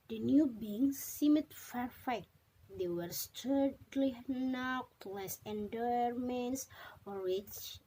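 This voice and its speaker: A young woman reading a story aloud as a student narrator, with halting, deliberate phrasing and some mispronounced words. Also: on the high side, warm tone, breathy edge, poor audio. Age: young adult.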